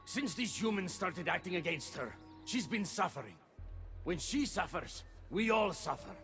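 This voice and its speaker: A high-pitched male god from a video game, anxious and devoted to his queen. His delivery is earnest and theatrical with plenty of creak, and music plays clearly behind him. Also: expressive, normal speed, fairly bright tone. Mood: devoted, defensive. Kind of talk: video game cutscene acting.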